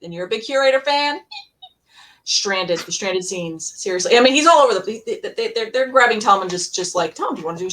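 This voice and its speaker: A woman's voice: medium pitch, expressive, fairly quick, a bit bright, a little hiss or hum, low-quality recording. A woman chatting casually as a podcast panelist, acting out her reactions as she talks. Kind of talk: casual fan chat.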